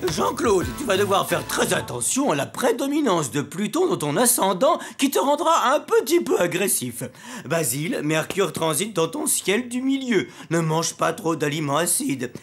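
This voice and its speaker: A woman's voice: fairly low pitch, very animated, normal speed, breathy edge, soft background music, heavily acted. A woman voicing a solemn child astrologer in a cartoon, pronouncing horoscopes pompously. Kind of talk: French animated series dialogue.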